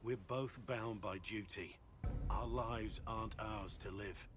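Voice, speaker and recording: A man's voice: fairly low pitch, fairly even intonation, slow pace, over music. A man playing a stoic, duty-bound soldier in a game, solemn and formal, guarded about his affections. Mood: solemn, pained. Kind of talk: dramatic game cutscene acting.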